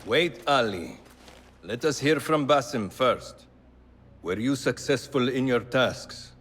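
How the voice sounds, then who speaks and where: A commanding game mentor of a secret order. His voice is husky and mid-pitched, measured and grave, echoing through a large hall. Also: animated, fairly bright tone, full character voice. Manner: measured, authoritative. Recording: long hall reverb.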